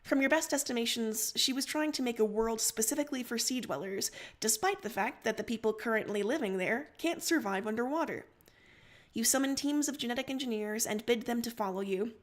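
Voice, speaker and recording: A woman narrating a story aloud as a host, in an expressive reading style. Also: a little high, a little fast. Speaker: livestream host reading fan fiction aloud.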